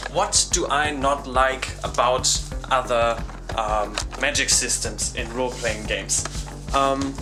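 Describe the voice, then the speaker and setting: A German man with a German accent, a game designer vlogging from his home workshop in a relaxed way, thinking aloud with frequent ums. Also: medium pitch. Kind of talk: casual vlog talk to camera.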